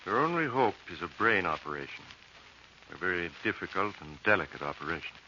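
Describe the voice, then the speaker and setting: An actor playing a grave, tactful doctor in a 1940s radio drama, measured and professional, on a dull, noisy recording. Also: male voice, deep voice, sing-song, sharp tone, exaggerated voice.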